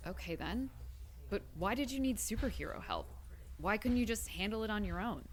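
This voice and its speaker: A woman playing a brisk young superheroine with a blunt, probing manner in an audio drama. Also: medium pitch, expressive, a little hiss or hum, natural voice.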